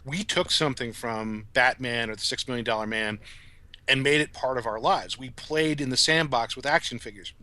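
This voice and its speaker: Bright-voiced middle-aged man, closely recorded. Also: mid-range voice, moderate pace, no echo. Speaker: podcast guest. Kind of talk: casual reflective discussion.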